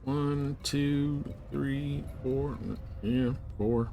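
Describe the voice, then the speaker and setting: A man's voice: mid-range voice, little pitch movement, drawn-out words, mellow, slight background noise. Man casually chatting while gaming. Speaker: live streamer playing a space trading game. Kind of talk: casual gameplay chat.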